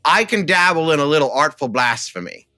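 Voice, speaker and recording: Animated man, high bright voice. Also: a little fast, no echo, studio quality, faint music behind. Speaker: podcast co-host. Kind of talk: film discussion podcast, chat and read-out copy.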